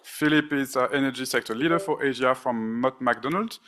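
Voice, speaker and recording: A man hosts a conference panel from the stage, introducing each guest in turn. He speaks at a middling pitch into a stage microphone and often pauses with "uh" and "um" as he reads the bios. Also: background noise. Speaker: panel moderator.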